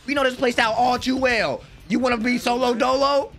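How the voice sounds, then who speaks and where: A young American man giving excited, slangy, exclamatory live reaction commentary at a close desk mic in a room at home. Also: extremely high pitch, fairly quick, vocal fry, faint music behind. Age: young adult. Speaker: reaction video host. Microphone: close desk microphone.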